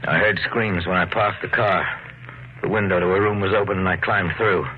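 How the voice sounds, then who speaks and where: An actor playing a controlling, menacing husband suspected of murder in a 1950s radio thriller, commanding and coolly persuasive. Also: male voice, sits low, fairly even intonation, brisk, lightly acted. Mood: controlled. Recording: muffled 1950s radio with faint noise.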